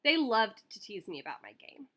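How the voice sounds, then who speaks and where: A woman reads a children's book aloud as a staff member at a school would. Her voice sits fairly high and moves up and down a lot. The tone is bright and the delivery animated and expressive. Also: moderate pace. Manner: animated, acts out dialogue.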